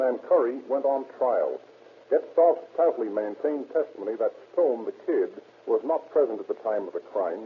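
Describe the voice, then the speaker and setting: A man's voice: mid-range voice, close to an everyday voice. A man playing a police captain, narrating in a formal, declarative style for an old radio crime drama. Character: police captain narrating a solved case gravely. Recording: noisy, narrow-band old radio recording.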